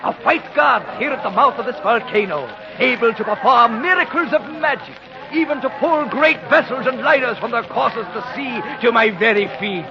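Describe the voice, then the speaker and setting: An actor playing a gloating megalomaniac who poses as a god, pompous and formal in 1940s radio drama style. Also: male voice, very high voice, normal speed. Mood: grandiose menace. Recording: noisy, muffled old radio drama recording. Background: crowd clamour.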